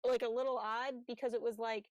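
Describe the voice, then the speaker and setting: A woman's voice: on the high side, little pitch movement, a little fast, darkish tone, no room sound. A woman co-hosting a podcast, talking through a review in a casual, conversational style. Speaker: podcast co-host.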